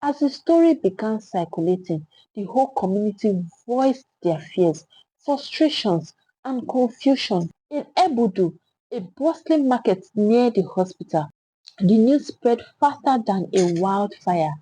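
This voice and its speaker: A woman's voice: mid-range voice, lively intonation, measured pace, dark tone, breathy edge, poor audio. A woman with a Nigerian accent narrating a scripted story in a solemn, theatrical, dramatic way.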